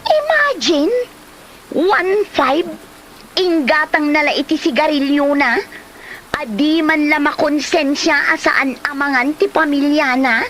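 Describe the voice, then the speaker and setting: Woman playing frank Filipino friend, highish voice, unhurried, emphatic, exclamatory, indignant comedy-drama delivery. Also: fairly bright tone, a little hiss or hum, rough recording. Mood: incredulous indignation.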